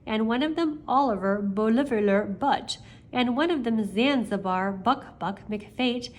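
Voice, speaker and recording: An older woman with a fairly high voice reads a children's storybook aloud. She takes her time, speaking expressively and turning to chat directly with her young listeners. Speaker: grandmother reading stories aloud to children. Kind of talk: read-aloud children's storybook with chatty asides.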